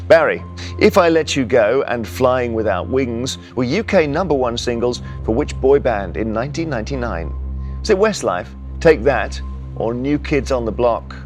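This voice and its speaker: A man's voice: medium pitch, animated, normal speed, soft background music. Upbeat man hosting a quiz show. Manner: upbeat, teasing, playful. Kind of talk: scripted game show presenting. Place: television studio.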